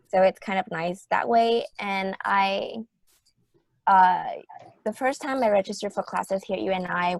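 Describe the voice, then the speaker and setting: A woman's voice: medium pitch, gravelly, a little mellow, no room sound. A woman in her early twenties from Vietnam with a Vietnamese accent, giving informal answers as a student panelist. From Hanoi, Vietnam. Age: early 20s.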